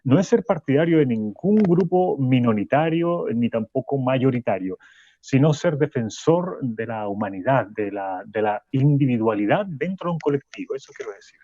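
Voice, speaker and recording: An actor playing a thoughtful professor who speaks in a measured, reflective way on a radio program. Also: male voice, medium pitch, animated, warm tone, no room sound, close to an everyday voice. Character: thoughtful man, professor looking back on the past. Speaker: social sciences professor on radio. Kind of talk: radio drama acting. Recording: dull, lo-fi dry recording.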